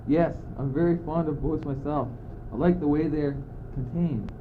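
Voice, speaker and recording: A man's voice: fairly high pitch, moderate pace, close to an everyday voice. An impatient, philosophizing courtier, acted by a teenage boy in a student radio play. The delivery is declamatory and exasperated. The recording is very tinny and plainly noisy. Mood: musing. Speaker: student actor. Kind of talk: amateur radio play acting. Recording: noisy phone-like old school recording.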